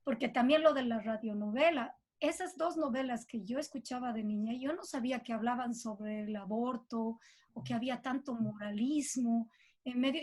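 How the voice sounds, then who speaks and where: A woman's voice: fairly high pitch, a little mellow. A woman with a Bolivian accent speaking informally and reflectively as a composer being interviewed.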